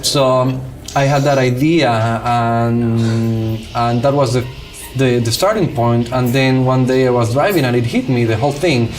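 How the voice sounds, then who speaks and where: A man's voice: mid-range voice, measured pace, a little mellow, soft background music. A man from Cuba with a Cuban accent and Spanish as his first language, a film director talking casually and animatedly in an interview.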